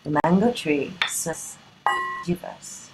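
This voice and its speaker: A woman narrating a play in a dramatic scripted style, breaking it into short, deliberate phrases. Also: deep voice, background noise.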